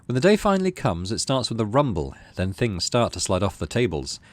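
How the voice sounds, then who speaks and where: A man's voice: lowish voice, sing-song, fairly quick, dry recording. A man hosting a gaming video, reading story text aloud expressively and adding casual, musing commentary.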